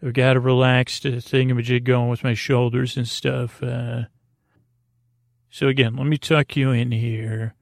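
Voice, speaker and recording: A man with a gravelly, creaky voice hosts a bedtime podcast. He rambles hesitantly and reassuringly, with a gently silly streak. The sound is close-miked and dry, with almost no room around it. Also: medium pitch, level tone, a little slow, dry recording. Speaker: podcast host. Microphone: close to the microphone.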